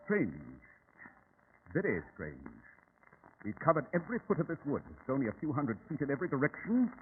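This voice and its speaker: An actor playing a brisk, commanding, analytical British detective with a crisp, imperious manner in an old-time radio drama. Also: male voice, on the high side, huge pitch swings. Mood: brisk, musing. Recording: tinny old radio recording, faint noise.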